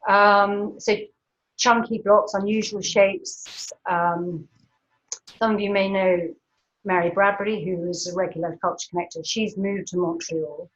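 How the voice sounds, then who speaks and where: A woman's voice: mid-range voice, steady tone, a little slow, a bit bright. Chatty woman lecturing over lo-fi audio. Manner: chatty, many ums, light laughs. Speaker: art historian giving a talk. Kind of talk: informal illustrated lecture over slides.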